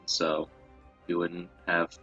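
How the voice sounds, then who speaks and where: A young man with a very low voice that has a bright, forward edge. He tells stories in a loose, casual co-host style and swears freely. Faint music plays behind him. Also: completely flat delivery, slow pace, lo-fi sound. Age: young adult. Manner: crude, profanity-laden. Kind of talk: casual chat, storytelling.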